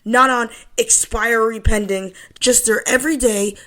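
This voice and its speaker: Stuck-up angry shopper, highish voice, scolds every word, prim but sweary. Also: female voice, fairly even intonation, unhurried, fairly bright tone. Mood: indignant. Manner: scolding, emphatic. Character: indignant, haughty small-town customer, prim yet foul-mouthed. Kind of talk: comic radio drama acting.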